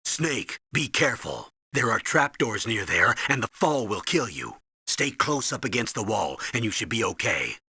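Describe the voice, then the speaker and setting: An actor playing a stern older military commander, giving authoritative, urgent briefings in a video game with a husky voice. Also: male voice, on the low side, big pitch swings, moderate pace, few pauses, sharp tone, no room sound, studio quality, heavily acted. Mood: cautioning. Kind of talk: video game voice acting, tutorial instructions.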